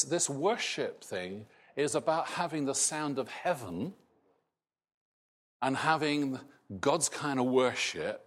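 Man preaching, softened Scottish accent, slow halting delivery, middle-aged, medium pitch. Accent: Scottish, largely softened. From Scotland. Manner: slow, halting, with many restarts and fillers. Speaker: pastor. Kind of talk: sermon.

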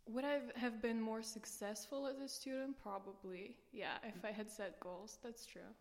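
A woman's voice: a little high, steady tone, normal speed. Woman in a reverberant interview. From Romania. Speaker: podcast guest, student coach. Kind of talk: conversational explanation in an interview. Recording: reverberant hall-like room sound.

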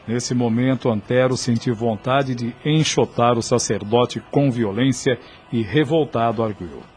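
A man's voice: mid-range voice, unhurried, darkish tone, slightly rough. A man narrating a radio serial in a scripted, dramatic storytelling style. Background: background music.

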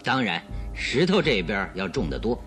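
Confident, theatrical male detective, animated delivery. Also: on the low side, normal speed, faint music behind. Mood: showmanlike. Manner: theatrical, explanatory, self-assured. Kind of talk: radio drama acting.

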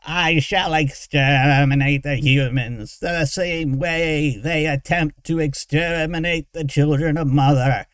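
A man voicing a crazed villain mage in a fantasy audiobook, shrill and whining, with grandiose archaic pronouncements. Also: slow, few pauses, smooth, no echo.

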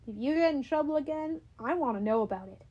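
A woman's voice: sits high, animated, fast talker, mellow, dull audio. A woman voicing a loyal, eager teenage boy who speaks earnestly.

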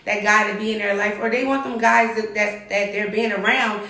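A woman's voice: mid-range voice, steady tone, normal speed, pushed and bright, roomy sound. A woman speaking bluntly and emphatically, with swearing, in a casual panel discussion.

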